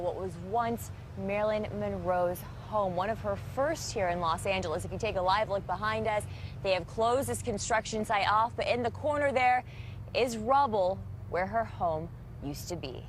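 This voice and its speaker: A woman's voice: medium pitch, normal speed, a little hiss or hum. An American woman working as a television news reporter, delivering a live broadcast report from outdoors. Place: outdoors on a city street by a demolition site.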